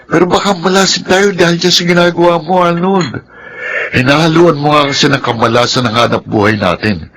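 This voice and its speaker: An actor playing an exasperated, admonishing business partner in a Filipino radio drama, with a Filipino accent. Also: male voice, on the high side, fairly quick, fairly bright tone, slight background noise. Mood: exasperated warning. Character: nagging, suspicious male business partner, worried family man.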